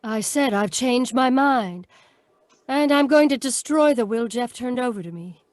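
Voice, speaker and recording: A woman's voice: on the high side, lively intonation, measured pace, a little mellow, dry recording. A woman playing an earnest, lovestruck and remorseful young woman in an old-time radio melodrama. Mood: earnest, resolute.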